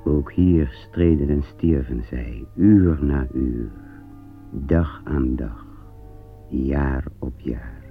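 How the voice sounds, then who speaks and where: Very deep, slow, solemn narrator. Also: male voice, creaky, exaggerated voice. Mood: solemn, pensive. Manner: grave, measured, pensive. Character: grave male narrator recalling a war front. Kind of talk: solemn radio play narration. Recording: tinny old radio play recording, music behind.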